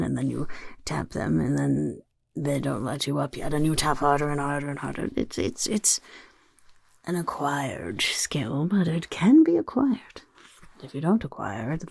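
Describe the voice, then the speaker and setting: A woman voicing a scheming little goblin overlord who interviews recruits in a soft-spoken ASMR roleplay, whispering smugly. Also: sits low, dry recording, full character voice. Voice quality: gravelly, breathy whisper. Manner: whispery, smug, mischievous questioning. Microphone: close ASMR mic.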